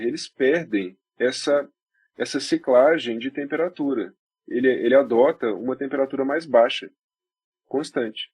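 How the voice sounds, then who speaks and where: Husky Brazilian male doctor, mid-pitched, dry close mic, steady explainer. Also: no echo. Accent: Brazilian Portuguese. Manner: measured, explains step by step with restarts. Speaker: doctor guest. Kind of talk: explanatory podcast talk.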